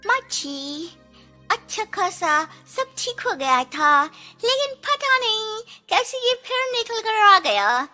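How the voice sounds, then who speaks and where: A woman's voice: very high-pitched, very animated, slow pace, sharp tone, faint music behind, exaggerated voice. A woman voicing a kind-hearted, sorrowful young daughter-in-law in a moral story cartoon, plaintive and earnest. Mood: ashamed, regretful.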